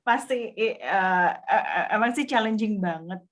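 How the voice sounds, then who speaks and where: A woman's voice: mid-range voice, unhurried, fairly bright tone. A woman sharing her experience in a casual group chat over a video call, hesitant, with frequent filler sounds and restarts.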